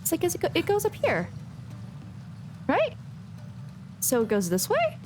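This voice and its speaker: A woman's voice: very high voice, wildly swooping pitch, measured pace, a little mellow, a little hiss or hum. A woman giving casual, chatty live commentary as a game streamer, with playful asides. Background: faint game music.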